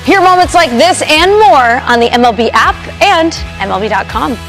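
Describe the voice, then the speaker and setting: Lively high-voiced promo woman. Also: moderate pace, soft background music. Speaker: promo announcer. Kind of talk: scripted radio promo narration.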